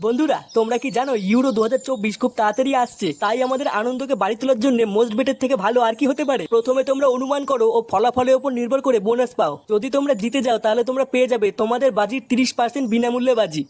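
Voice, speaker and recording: High-voiced, brisk male ad narrator. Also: steady tone, a little mellow. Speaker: advertising narrator. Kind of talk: scripted promotional narration.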